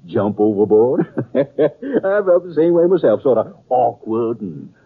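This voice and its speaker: A jovial Old West townsman, with a rural western American drawl. His husky voice swoops about in a teasing, folksy way, on a tinny 1950s radio western recording. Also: medium pitch, big pitch swings, unhurried, full character voice.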